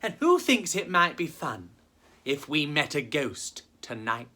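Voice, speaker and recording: A woman's voice: deep voice, sing-song, a little slow, a bit bright. A woman telling rhyming children's stories in a dramatic, playful way, speaking straight to her listeners. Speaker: children's storyteller and host. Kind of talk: theatrical rhyming storytelling for children.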